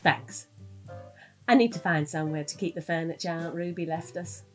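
A British actress playing a chatty middle-aged detective's wife in an audio drama, in natural domestic dialogue. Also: female voice, on the low side, moderate pace, a little mellow, faint music behind, close to an everyday voice.

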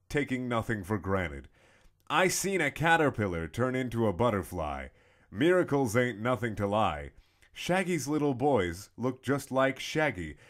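A young man giving a mock-dramatic, theatrical reading with swooping emphasis and long pauses. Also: mid-range voice. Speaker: comedic reader.

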